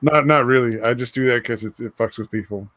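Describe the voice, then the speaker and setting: A man's voice: mid-range voice, a little fast, fairly bright tone. A man co-hosting a casual podcast from home over voice chat, crude and rambling, laughing often. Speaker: podcast co-host.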